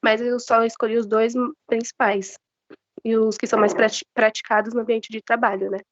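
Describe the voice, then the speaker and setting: A woman's voice: on the high side, level tone, moderate pace, no room sound, muffled recording. A woman with a Brazilian accent and a flu-affected voice, giving an informal, partly read class presentation a little hesitantly. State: has the flu, voice slightly off. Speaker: student giving a safety talk. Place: online video class.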